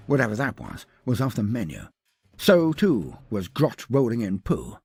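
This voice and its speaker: A man's voice: medium pitch, vocal fry, slightly rough, dry recording. A man narrating a scripted story in a dramatic, grandiose, theatrical manner.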